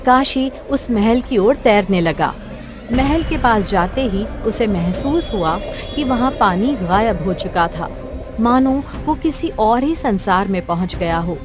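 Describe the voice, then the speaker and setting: A woman's voice: mid-range voice, expressive, slow pace, over music. Woman narrating a storybook tale. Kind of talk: scripted cartoon story narration.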